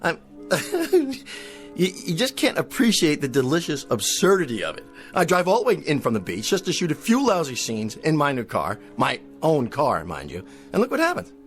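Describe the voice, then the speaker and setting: A vain western star in a radio drama. He is petulant and theatrical, swinging widely in pitch as he complains. Faint music runs underneath. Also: huge pitch swings, normal speed. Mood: indignant, self-pitying. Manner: theatrical, exasperated, haughty. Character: pompous, petulant male TV western star, self-pitying.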